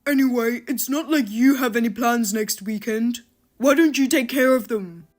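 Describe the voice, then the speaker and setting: A woman's voice: a little high, normal speed, soft background music, close to an everyday voice. A woman voicing an unemployed husband who is lazy and defensive, answering in an irritable, dismissive way.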